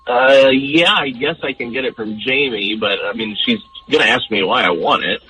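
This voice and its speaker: A man's voice: mid-range voice, moderate pace, bright, forceful tone, faint music behind, rough recording. A young man calling in to a radio show, speaking hesitantly.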